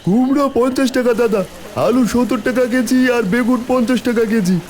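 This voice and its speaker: Very high-pitched cartoon villager. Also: male voice, fairly even intonation, brisk, darkish tone. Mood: hawking. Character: village men: chatty uncle, stubborn vegetable seller. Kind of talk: Bengali cartoon voice acting.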